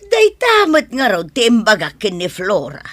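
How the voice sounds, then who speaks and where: A woman's voice: mid-range voice, huge pitch swings, moderate pace, fairly bright tone, no echo. A woman playing a disdainful, skeptical mother in a melodramatic audio drama. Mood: scornful, suspicious. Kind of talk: melodramatic Ilocano radio drama acting.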